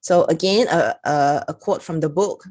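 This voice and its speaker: A woman's voice: low-pitched, moderate pace, darkish tone. A woman with a Singaporean accent giving a chatty, casual review to camera, reading passages aloud with little laughs. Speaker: product reviewer.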